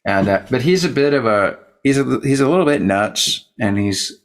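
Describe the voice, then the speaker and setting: Man, interview host, casual, rambling, jokey, tangent-prone, mid-pitched voice. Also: expressive, moderate pace. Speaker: interview host and show producer.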